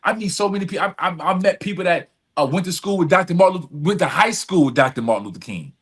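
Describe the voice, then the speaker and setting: Male interview guest, voice a little high, speaks in earnest, emphatic, repetitive phrases. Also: expressive, fast talker, a bit bright. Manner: earnest, emphatic, repeats phrases, often checks with "right?". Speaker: podcast guest, community service advocate. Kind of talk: reflective personal storytelling in conversation.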